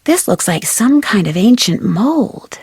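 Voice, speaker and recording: A woman's voice: mid-range voice, big pitch swings, a little slow, darkish tone, breathy edge, dry recording, clean studio sound, full character voice. A woman playing a curious, plucky young detective who thinks aloud in scripted adventure game lines. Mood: playful, musing. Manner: inquisitive, self-talking. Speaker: video game voice actor.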